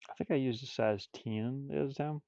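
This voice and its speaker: A man chatting casually on a livestream while drawing, rambling and muttering to himself, with bursts of loudness. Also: mid-range voice, brisk, creaky, no echo, dull audio. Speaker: streamer drawing digital art.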